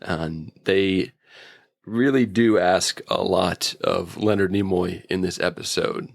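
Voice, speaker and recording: A man's voice: low-pitched, steady tone, takes it slowly, vocal fry, no room sound. A man chatting casually as a guest reviewer, with an informal, enthusiastic fan's way of talking. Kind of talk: casual episode review chat.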